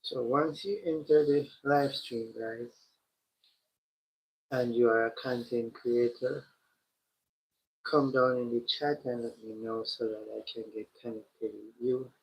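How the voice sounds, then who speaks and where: A man hosting a live stream, talking slowly and directly to his chat, his voice congested and stuffy from a cold. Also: mid-range voice, steady tone, slight background noise. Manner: slow, repetitive, addresses the chat directly. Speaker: livestream host. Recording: dull lo-fi stream audio.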